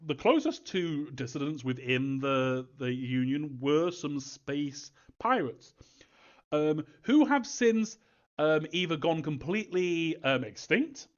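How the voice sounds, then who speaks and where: A man's voice: on the high side, expressive, takes it slowly, highs cut off. A man answering fan questions as a game creator in a casual live Q&A, rambling hesitantly and thinking aloud as he goes.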